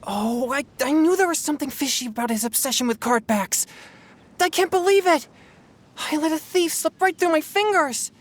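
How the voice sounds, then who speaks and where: A woman's voice: high-pitched, lively intonation, normal speed. A woman voicing an earnest, polite teenage boy who is hard on himself, in a video game. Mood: outraged. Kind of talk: video game character acting.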